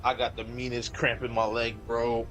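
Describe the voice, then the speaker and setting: A man's voice: medium pitch, monotone, normal speed, a bit bright, soft background music. A young man casually commenting over his gameplay, slangy and loose, talking half to himself. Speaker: gaming streamer. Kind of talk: casual let's-play commentary.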